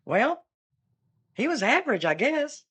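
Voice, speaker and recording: A chatty young woman eyewitness, played by an actress in a comic radio play, her high voice swooping up and down as she rambles. She delivers odd turns with a dry deadpan. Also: a little slow, husky, heavily acted. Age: 20s. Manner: chatty, meandering, with an offbeat deadpan. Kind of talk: comic radio drama acting.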